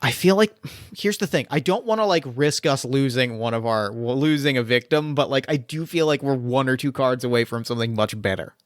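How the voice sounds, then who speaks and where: A man's voice: mid-range voice, fairly quick, hardly any pauses, no echo, studio quality. A man running a tabletop murder mystery game as game master, talking casually and rambling with many fillers and restarts.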